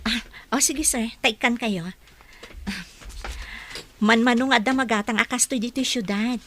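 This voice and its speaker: High-voiced polite provincial young woman. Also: a little slow, a bit bright, slight background noise. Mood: polite, modest. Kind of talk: Ilocano radio drama acting.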